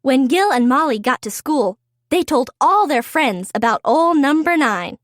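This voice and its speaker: A woman reading a story aloud for young children in an expressive, deliberate way. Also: high voice, no echo, studio quality.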